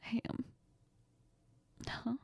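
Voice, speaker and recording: A woman playing a heartbroken young woman in an intimate audio role-play, crying and confiding in a halting, breathy, often whispered voice. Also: a little mellow. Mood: tearful, apologetic. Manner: halting, sniffly, tearful. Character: heartbroken, tearful, apologetic young woman confiding in best friend.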